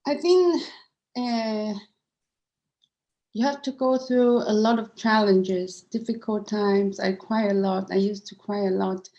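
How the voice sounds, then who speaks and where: A woman's voice: mid-range voice, slow, dark tone, lo-fi sound. A middle-aged woman with a Cantonese accent, speaking candidly as an interview guest and often restarting her words. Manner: earnest and candid, frequent restarts and repeated words. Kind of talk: interview conversation.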